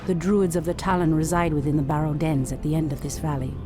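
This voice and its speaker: An actress playing a proud, resolute night elf warrior priestess in a fantasy game, speaking formally and firmly. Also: female voice, low voice, flat delivery, quick pace, lightly acted. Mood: urgent, earnest. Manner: formal, earnest, firm dramatic delivery. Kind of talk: dramatic fantasy game acting. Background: loud music.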